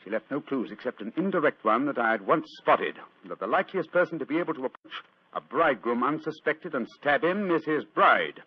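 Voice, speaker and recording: British gentleman sleuth, theatrical, precise, very lively intonation, muffled vintage radio recording. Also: mid-range voice, brisk, breathy edge. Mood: triumphant explaining. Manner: urbane, precise, theatrical, self-assured. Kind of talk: 1940s radio acting. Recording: dull, noisy old radio recording.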